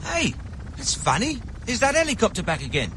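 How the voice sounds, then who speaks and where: An actor playing a hearty, determined British cycle racer in a radio drama, breezy and clipped, with a British accent. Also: male voice, very high-pitched, wildly swooping pitch, brisk, heavily acted. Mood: cheerful, determined. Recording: loud outdoor road and vehicle noise.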